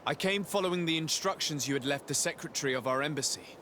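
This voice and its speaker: A man's voice: on the high side, fast talker, sharp tone, a little hiss or hum. An actor playing a composed young nobleman diplomat in a video game, speaking in a polite, formal, articulate way. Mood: calm, practical.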